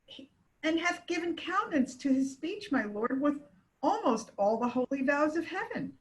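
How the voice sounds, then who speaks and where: High, lively young daughter played by a woman. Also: moderate pace, rough recording. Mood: earnest, obedient. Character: young dutiful daughter defending her suitor. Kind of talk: dramatic reading of Shakespeare.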